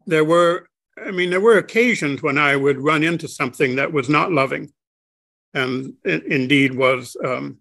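An older man speaking slowly and haltingly, with many pauses and restarts, as an interview guest on a video call from his home study. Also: a little high, creaky, fairly bright tone, no echo. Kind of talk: reflective conversation.

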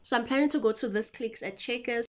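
Vlogger, female, twenties, South African accent. Slightly high pitch, informal chatty delivery, muffled phone recording. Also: fast. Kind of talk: casual chat to camera. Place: mostly a bedroom at home. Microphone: phone camera at arm's length. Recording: dull lo-fi phone audio.

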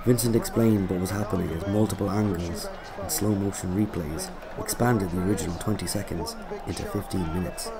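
A man narrating a scripted autobiographical story in a measured, dry way. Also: low-pitched, fairly even intonation, a crowd in the background. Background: loud music.

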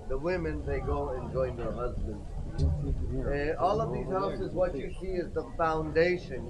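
A man leads a tour group through outdoor ruins, spinning the story with lively energy and tossing questions to his listeners. His voice sits a little high. Crowd chatter runs behind him, picked up by a body-worn camera mic. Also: poor audio. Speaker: tour guide. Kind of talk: guided site tour explanation.

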